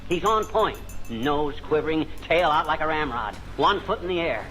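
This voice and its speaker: An actor playing a boastful, blustery husband in a folksy 1940s radio comedy style. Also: male voice, on the high side, expressive, moderate pace, bright, forceful tone, faint music behind. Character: blustery, boastful husband spinning tall tales while fixing things. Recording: noisy old radio broadcast recording.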